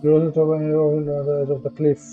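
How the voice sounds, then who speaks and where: A woman's voice: deep voice, completely flat delivery, a little slow, narrow, tinny audio, soft background music. A woman with an Indian accent giving casual live game commentary, muttering and repeating herself as she thinks aloud. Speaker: game streamer. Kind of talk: casual live gameplay commentary mixing Hindi and English.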